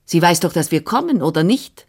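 A woman's voice: fairly low pitch, sing-song, fairly quick, a little mellow, husky, heavily acted. A woman reading the part of a father who speaks curtly and directly. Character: grown man, a father, curt and practical.

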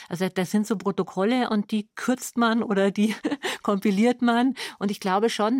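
A woman's voice: mid-range voice, no echo, studio quality. A woman giving an informal interview statement, conversational and colloquial, repeating words for emphasis. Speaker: radio play maker.

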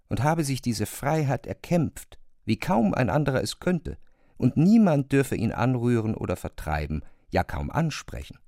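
Narrator for literary drama: man, measured and deliberate, fry in the voice, very dry acoustic. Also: medium pitch, darkish tone, no room sound.

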